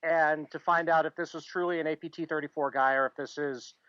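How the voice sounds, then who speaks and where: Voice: medium pitch, steady tone, fairly quick, a bit bright, smooth. A podcast co-host chatting casually, rambling with lots of "you know" fillers. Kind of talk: casual chat. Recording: slightly dull lo-fi audio.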